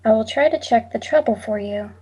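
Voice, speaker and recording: An actress playing an impassive telephone operator, speaking with measured, formal politeness in 1940s radio drama style. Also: female voice, fairly high pitch, darkish tone, breathy edge. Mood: detached, polite. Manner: measured, detached, scripted politeness.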